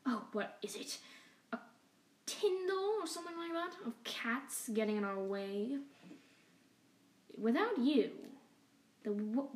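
A woman voicing a cruel, sneering rat king. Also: a little high. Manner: sneering, drawn-out menacing speech. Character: scheming male opossum rat king, boastful, cruel. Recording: echoey room, mic at a distance.

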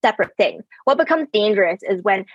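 Young woman, husky voice, dry close sound, chatty guest explaining stuff. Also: mid-range voice, sing-song, brisk, no echo, highs cut off. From Canada. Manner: articulate, repeats words at starts, laughs lightly. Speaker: podcast guest, brand strategist. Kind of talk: conversational interview answers.

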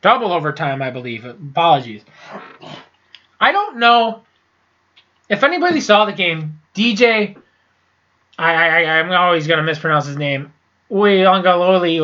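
Congested, foul-mouthed young man with a bright voice. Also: fairly high pitch, lively intonation, moderate pace, pauses often, lo-fi sound. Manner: profane, blunt and rambling, with frequent restarts. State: congested with a sinus infection. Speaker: sports podcast host. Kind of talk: casual solo sports recap.

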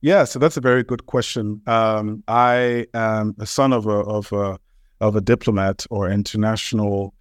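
Enthusiastic Ghanaian man, low voice. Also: steady tone, normal speed, no echo, clean studio sound. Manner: enthusiastic, frequent fillers and restarts. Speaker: guest, sports marketer. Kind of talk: conversational interview answers.

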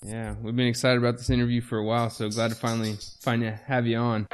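A man's voice: mid-range voice, level tone, fast talker, faint music behind. A man hosting a podcast, introducing the episode and welcoming a guest in an upbeat, enthusiastic way. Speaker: podcast host. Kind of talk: scripted episode intro and interview questions.

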